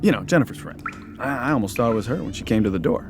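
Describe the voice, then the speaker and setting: A brash young slacker acted by a man hunts ghosts in a radio drama. He talks casually and cockily with a very animated pitch, and music plays clearly behind him. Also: racing, lightly acted. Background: loud dramatic music.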